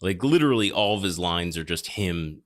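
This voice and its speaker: A man's voice: low-pitched, lively intonation, normal speed. A man hosting a podcast, talking casually about films in rambling, exasperated explanations. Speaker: podcast host. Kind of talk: casual film discussion.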